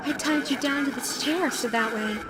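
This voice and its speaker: A woman's voice: high voice, normal speed, heavy background noise, lightly acted. A woman voicing a flirty, menacing female animatronic fox in an audio roleplay, playful, coy and teasing. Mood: playful menace. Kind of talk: audio roleplay addressed to the listener. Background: music.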